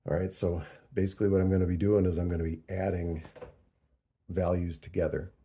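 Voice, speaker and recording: A man with a low, creaky voice talks through a do-it-yourself tutorial. His tone is dark and mellow, and he speaks casually and deliberately, pausing as he thinks aloud. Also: level tone. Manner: deliberate, halting, working through figures aloud. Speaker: host of a DIY audio tutorial.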